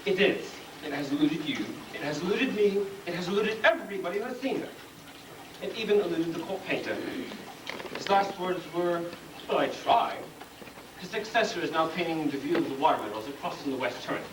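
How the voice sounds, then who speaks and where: A man's voice: on the high side, lively intonation, brisk, close to an everyday voice. A man playing a pompous, exasperated storybook king in a stage comedy, speaking with dry deliberation. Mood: wry exasperation. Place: school stage, live play performance. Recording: distant, noisy, echoey room recording of play.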